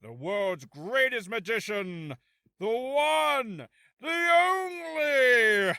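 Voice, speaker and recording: A man playing a theatrical magic show announcer from a video game, with grand, booming showmanship. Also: very animated, extremely slow, pushed and bright, smooth, dry recording, clean studio sound, full character voice. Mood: grandiose. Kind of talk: video game character acting.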